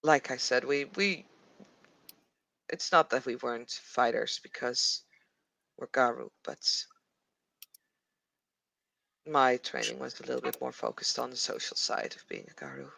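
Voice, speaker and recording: A Dutch-accented man voicing an earnest female werewolf raised in a quiet pack, speaking in a measured, formal way and pausing to find his words. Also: medium pitch, animated, gravelly, dry recording, full character voice, low-quality recording. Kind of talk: in-character roleplay.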